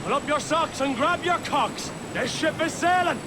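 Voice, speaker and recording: Crude bossy sailor in a game, really high voice, barking, rumbly noise in back. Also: male voice, animated, normal speed. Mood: gruff, impatient. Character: coarse, bullying sailor barking at his shipmates. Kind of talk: dramatic video game acting.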